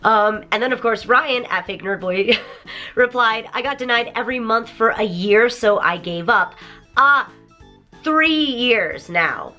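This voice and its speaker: A woman in her thirties talks to her viewers from a home studio, her voice croaky from a recent sore throat. Her tone is bright and forceful, and she chats in a lively, apologetic way. Soft music plays faintly underneath. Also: fairly high pitch, a little slow. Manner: chatty, animated, apologetic. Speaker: YouTuber. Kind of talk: casual vlog to camera. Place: bedroom studio with toy-filled shelves.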